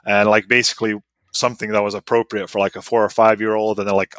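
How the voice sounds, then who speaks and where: A man's voice: fairly low pitch, a bit bright, no room sound. A man in his thirties from Bosnia, whose first language is Serbo-Croatian, telling stories as a podcast guest in a loose, rambling way full of "like" and "you know.". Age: 30s. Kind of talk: casual storytelling interview.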